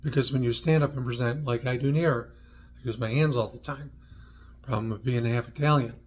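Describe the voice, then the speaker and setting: A man's voice: medium pitch, fairly even intonation, brisk. A man from New York with an American accent, presenting an instructional talk in a conversational way, with short clipped phrases and dry asides. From New York, United States. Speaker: presenter of a how-to video series on public speaking.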